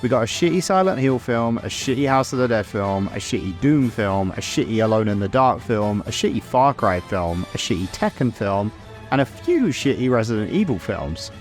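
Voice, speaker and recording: Lively conversational man narrating a video essay. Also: lowish voice, moderate pace, soft background music. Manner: conversational, opinionated, mildly sweary. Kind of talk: scripted video essay narration with casual asides.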